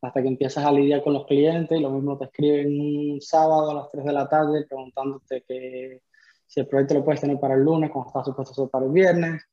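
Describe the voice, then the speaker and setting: A man's voice: a little high, flat delivery, brisk, warm tone. A man in his thirties from Cuba, telling his story as a podcast guest in a conversational way. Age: 30s. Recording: slightly dull, lo-fi audio.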